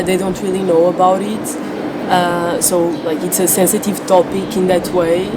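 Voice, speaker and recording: A young woman speaking candidly as an interviewee, relaxed and soft, filling her talk with "like". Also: fairly low pitch. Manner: relaxed, many "like" fillers, soft-spoken. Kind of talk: candid personal testimony. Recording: loud background noise, air conditioning hum.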